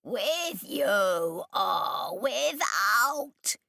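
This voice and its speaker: A woman voicing a vast, obsessive alien entity that speaks slowly and commandingly, its voice thundering from the walls. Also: very animated, vocal fry, bright, forceful tone, no room sound, studio quality, exaggerated voice. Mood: desperate. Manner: slow, booming, commanding.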